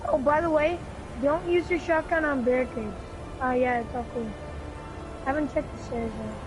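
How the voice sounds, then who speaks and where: A high-voiced gamer casually chatting and calling out tactics to teammates during a multiplayer video game. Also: steady tone, moderate pace, music in the background. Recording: clearly noisy gaming mic recording.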